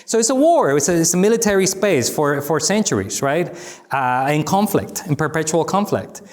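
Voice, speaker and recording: Animated man fielding audience questions. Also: a little high, normal speed. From Dominican Republic. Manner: animated and emphatic, wry asides, frequent restarts. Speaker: guest speaker answering audience questions. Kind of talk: lecture Q&A, conversational.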